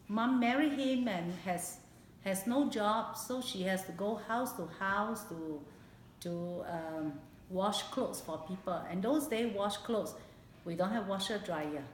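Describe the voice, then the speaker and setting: A woman in her late fifties with a Singaporean accent recalls her life in a personal interview, speaking haltingly and repeating phrases for emphasis. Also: mid-range voice. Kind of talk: personal oral history interview. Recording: echoey room, background hiss.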